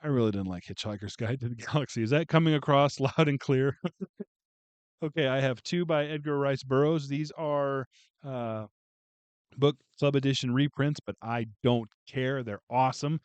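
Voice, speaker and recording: A man's voice: mid-range voice, moderate pace, smooth, dry recording. A man casually going through a book haul on a video, chatting and joking with viewers as he reads out titles. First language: English. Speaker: booktube vlogger.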